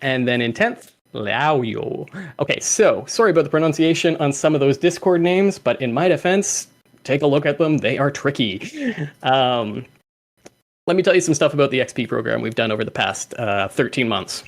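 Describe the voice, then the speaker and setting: Lively man hosting a community call. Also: medium pitch, normal speed. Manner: lively, laughs often, reads out names. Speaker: host.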